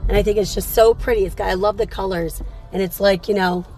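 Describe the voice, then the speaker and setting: A woman hosting a casual morning livestream, chatty and upbeat, her voice slightly raspy from a cold. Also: mid-range voice, fairly even intonation, fast, warm tone, faint music behind, low-quality recording. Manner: upbeat, chatty, greets viewers by name. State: fighting off a cold. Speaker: livestream host.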